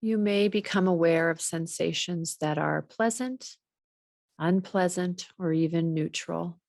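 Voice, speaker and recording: Slow, low-pitched woman guiding meditation. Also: vocal fry, no room sound, studio quality. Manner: measured, instructional, gently guiding. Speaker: meditation teacher. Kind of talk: guided body scan meditation.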